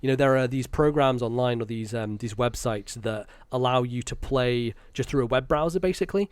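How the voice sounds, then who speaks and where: A man's voice: medium pitch, a little fast, smooth, no echo. A British man, around thirty, chatting casually and enthusiastically as an interview guest, explaining things in a conversational way. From Britain. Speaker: podcast guest, content creator.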